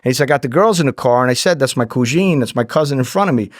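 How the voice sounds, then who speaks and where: A man's voice: mid-range voice, expressive, rapid-fire, no room sound, clean studio sound. A middle-aged man telling anecdotes casually as a podcast guest, acting out the dialogue and swearing freely. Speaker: podcast guest, former undercover agent.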